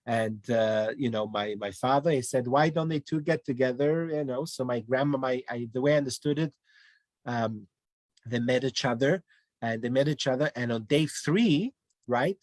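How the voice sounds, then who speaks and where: A man from Denmark talks at an ordinary pitch, circling back on himself with restarts and fillers as he tells his family's history. The sound is close and dry, with no room around it. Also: normal speed, darkish tone, no echo. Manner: rambling, with many restarts and fillers. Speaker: guest. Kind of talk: casual online interview.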